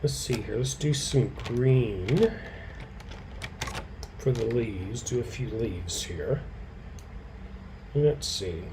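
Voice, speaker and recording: A man teaching a live quilting and fabric-painting demonstration, slightly hoarse, chatting with viewers by name and muttering softly to himself as he works. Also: medium pitch, very slow, pauses often, background noise. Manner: relaxed, talks softly to himself while he works; answers viewers' comments by name. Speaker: quilting educator.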